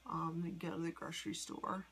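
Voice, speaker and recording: A woman in her forties from the United States, rambling in a personal vlog with many ums and soft whispery asides. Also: lowish voice, little pitch movement, a little fast, vocal fry. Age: 40s. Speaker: vlogger. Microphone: phone.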